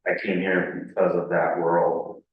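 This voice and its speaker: An American man giving informal, plain-spoken public comment as a resident at a town meeting, repeating himself and halting a little. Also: sits low, level tone. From Connecticut, United States. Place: indoor public meeting room. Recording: very echoey meeting room, distant muffled mic.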